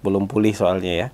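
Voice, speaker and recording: A man with a stuffy, hoarse voice from a cold, talking through his commentary with lots of "ya" and "eh" fillers. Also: deep voice, dark tone. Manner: conversational, frequent "ya" and "eh" fillers. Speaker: political commentator hosting his own channel. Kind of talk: solo spoken commentary.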